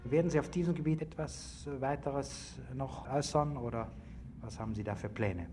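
A man's voice: mid-range voice, normal speed, dark tone. A man putting formal, polite questions to a guest as an interviewer. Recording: noticeable background noise, old interview recording.

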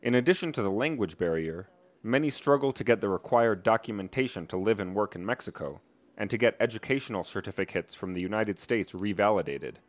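A man reporting, reading a scripted news narration with measured, even delivery. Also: lowish voice, no echo.

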